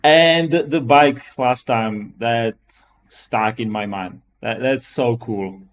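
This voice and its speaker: A man's voice: mid-range voice, takes it slowly. A man with a Czech accent chatting casually as a live-stream host, often restarting and repeating his phrases. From Czech Republic.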